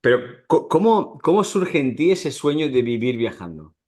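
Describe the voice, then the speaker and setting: A man's voice: a little high, sing-song, normal speed, a little mellow. A man hosting a podcast, addressing listeners and guest in an informal, direct way. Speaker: podcast host. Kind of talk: scripted podcast intro and casual interviewing.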